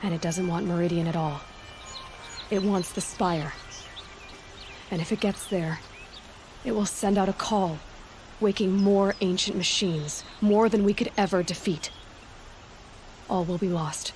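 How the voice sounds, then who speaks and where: A woman's voice: fairly low pitch, measured pace, pauses often, darkish tone, slight background noise. A young woman playing a resolute huntress warrior in a video game, speaking in a direct, grave and determined way. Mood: grave, urgent. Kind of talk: video game cutscene acting.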